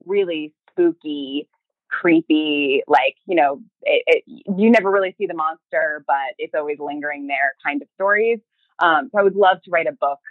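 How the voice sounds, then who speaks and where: A woman giving a casual interview as a podcast guest, talking in a chatty, halting way and often slipping into quoted speech. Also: deep voice, no echo, phone-quality sound. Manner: chatty, halting, acts out remembered thoughts and emails in quoted speech. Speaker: podcast guest, fiction podcast creator and writer.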